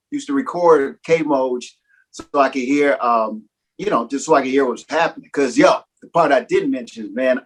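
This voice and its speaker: A man, a rapper, chatting casually on a video call, relaxed and slangy as he tells his story. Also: mid-range voice, breathy edge. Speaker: guest, rapper.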